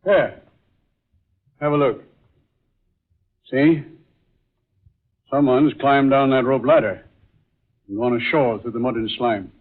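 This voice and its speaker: An actor playing a solemn, dramatic man in an old-time radio drama, speaking in a deliberate, theatrical way. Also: mid-range voice, steady tone. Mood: tense. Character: solemn male film star, grave and dramatic. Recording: muffled, tinny vintage radio recording.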